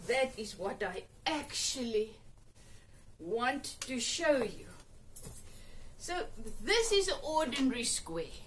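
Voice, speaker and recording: A chatty woman in her fifties with an Afrikaans accent talks through a sewing demo in a home workroom. Her voice sits high and swoops a lot, and she takes her time. She is picked up by a camera a few feet away. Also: sing-song, slow, pauses often, fairly bright tone, a little hiss or hum. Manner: chatty and upbeat, with little exclamations. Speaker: sewing channel host. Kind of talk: casual sewing tutorial. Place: cluttered home sewing room, indoors. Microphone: camera on a table, a few feet off.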